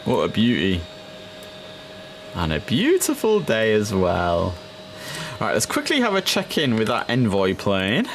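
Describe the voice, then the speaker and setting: A young man chatting casually through a simulator game, relaxed and filling pauses with ums and uhs. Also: fairly low pitch, slight background noise. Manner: chatty, relaxed, with frequent fillers. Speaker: gaming streamer. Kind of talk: casual gameplay commentary.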